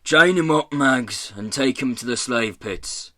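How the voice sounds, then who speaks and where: A man voicing a rough pirate mayor in a storybook, blustering and bullying, with a fawning politeness toward gentlemen. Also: medium pitch, unhurried, dry recording, clean studio sound. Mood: commanding. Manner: working-class turns of phrase, swings from threats to humble politeness.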